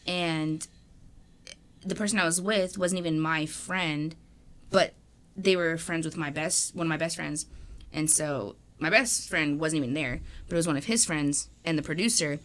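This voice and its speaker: A woman's voice: sits low, moderate pace, a bit bright. A young woman co-hosting a podcast, rambling in casual chat with lots of fillers and swearing. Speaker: podcast co-host.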